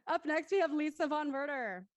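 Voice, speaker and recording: High-voiced woman hosting, dry sound. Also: animated, brisk, dry recording. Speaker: panel host. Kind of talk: casual moderated discussion.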